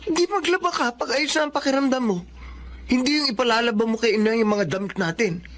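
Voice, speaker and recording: A man's voice: extremely high pitch, fast talker, fairly bright tone. An actor playing a responsible young husband and soon-to-be father in a radio drama. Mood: firm.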